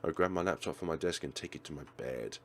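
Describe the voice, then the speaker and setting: A man hosting a let's play, reading visual novel narration aloud in an expressive way. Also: a bass voice, fast talker, slight background noise.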